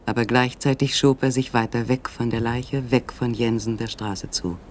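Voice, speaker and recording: A man narrating a literary radio play in a measured, suspenseful storytelling manner with dramatic pauses. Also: medium pitch, steady tone, slight background noise. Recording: faint background ambience.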